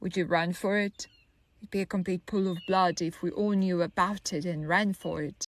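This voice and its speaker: A woman playing a troubled, lonely young woman in an audio monologue, halting, wry and conversational as she muses bitterly. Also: on the low side, fairly even intonation, close to an everyday voice. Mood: wry, musing. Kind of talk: dramatic monologue, audio drama.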